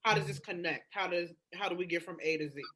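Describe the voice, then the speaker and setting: A woman's voice: lowish voice, fast talker, a bit bright, breathy edge. A woman in a candid, casual podcast conversation, explaining her points emphatically with everyday slang. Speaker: podcast co-host.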